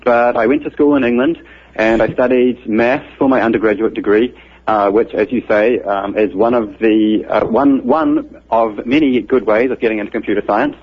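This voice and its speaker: Man, New Zealand accent, husky voice, patient methodical explainer, muffled radio sound. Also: on the low side, steady tone, normal speed. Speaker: guest author, computer scientist. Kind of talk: explanatory interview answers. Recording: muffled radio broadcast audio.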